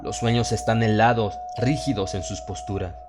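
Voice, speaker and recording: A man with a Latin American Spanish accent narrating a horror story in a solemn, theatrical manner with dramatic pauses. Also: on the low side, steady tone. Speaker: audiobook narrator. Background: music and sound effects.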